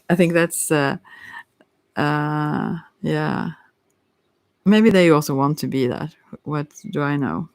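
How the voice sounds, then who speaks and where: Slow, creaky ASMR tarot reader. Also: medium pitch, darkish tone, dry recording. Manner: hesitant, searching for words, with long thoughtful pauses. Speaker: tarot reader hosting a live session. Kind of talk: ASMR, improvised card reading.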